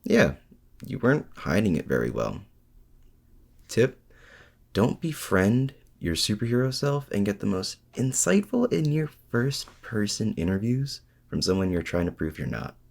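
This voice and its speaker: A man's voice: lowish voice, big pitch swings, gravelly, heavily acted. A man acting in an intimate audio roleplay as a lonely, awkward supervillain and mad scientist, speaking in a hesitant, sincere way. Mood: awkwardly chatty. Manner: hesitant, halting, softly sincere.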